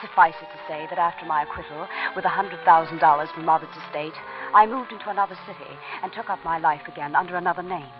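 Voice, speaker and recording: A woman's voice: on the low side, steady tone, fast, over music, close to an everyday voice. Poised radio-play murderess played by a woman, dull old recording. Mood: composed. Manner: poised, formal, precise diction. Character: cool, calculating murderess, outwardly a devoted wife. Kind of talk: 1940s radio acting.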